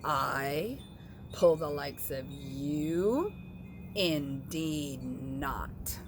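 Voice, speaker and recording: A woman's voice: deep voice, very slow, background noise, pitched below the performer's own voice. A woman voicing a haughty, snobbish male train engine in a children's storybook, boastful and emphatic. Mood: haughty, scornful.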